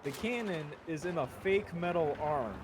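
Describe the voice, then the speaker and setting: A man casually retelling a story, halting with lots of fillers, restarts and swearing while he plays a game. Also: on the high side, expressive, noisy background. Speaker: storyteller summarizing a manga. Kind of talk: casual rambling story recap. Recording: loud video game gunfire behind voice. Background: game gunfire and explosions.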